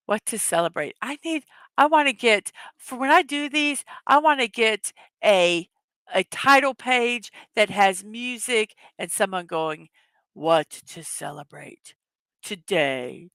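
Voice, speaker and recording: Hoarse chatty woman with a bright voice. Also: medium pitch, animated, takes it slowly, no echo. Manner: chatty, reads chat messages aloud, laughs often. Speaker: livestream host. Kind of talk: casual morning livestream chat.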